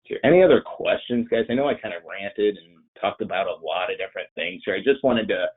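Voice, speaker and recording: Muffled, band-limited webinar audio carries a very low-pitched man who sounds congested and nasal from a cold. He answers questions in a loose, rambling, conversational way, and his voice is somewhat rough rather than clean. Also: lively intonation, fast talker. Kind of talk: informal webinar Q&A. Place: online presentation.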